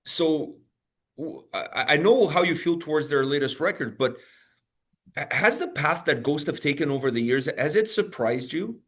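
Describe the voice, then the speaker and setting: A man's voice: on the high side, expressive, moderate pace, fairly bright tone, husky. A man hosting a video interview, asking questions in a hesitant, stumbling way. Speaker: reaction channel host. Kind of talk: interviewer chat.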